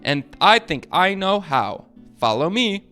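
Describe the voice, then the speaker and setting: A man reads the part of a brash superhero captain in a children's storybook. He is earnest and urgent, with lively swings in a fairly high voice, over faint music. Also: a little slow, heavily acted. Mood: eager, urgent. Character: brash, eager male superhero captain set on speed.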